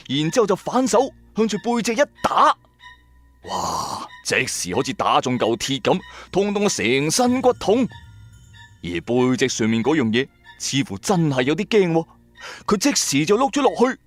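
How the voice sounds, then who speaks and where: Male narrator, mid-pitched, very animated intonation, dramatic colloquial horror storytelling. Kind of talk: first-person supernatural horror storytelling.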